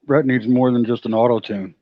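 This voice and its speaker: A man's voice: medium pitch, steady tone, a little fast, no echo, highs cut off. A man chatting casually on a live stream, dropping dry teasing remarks.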